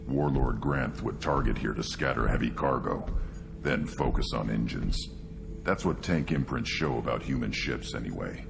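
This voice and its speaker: An actor voicing a hulking young tank-bred alien warrior in a video game, blunt and scornful, growling out terse, brooding fragments. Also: male voice, a bass voice, vocal fry, exaggerated voice. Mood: gruff, brooding. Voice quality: guttural, growling. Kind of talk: video game dialogue acting.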